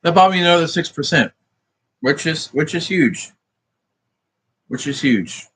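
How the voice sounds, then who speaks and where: A man's voice: fairly high pitch, expressive, measured pace, fairly bright tone, slightly rough. A man rambling in a profane, meandering monologue, thinking aloud as he works through sums. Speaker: vlogger.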